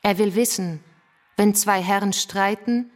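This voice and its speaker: A woman's voice: mid-range voice, steady tone, a little slow, lightly acted. An actress playing a scornful, argumentative revolutionary woman who lectures bitingly in a German radio drama. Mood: combative. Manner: didactic, biting.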